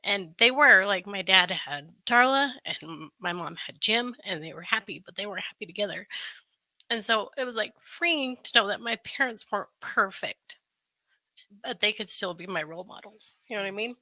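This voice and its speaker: A woman's voice: medium pitch, lively intonation, normal speed, bright, forceful tone, no echo, low-quality recording. A woman on a recovery show panel, sharing personal reflections in an informal, conversational way.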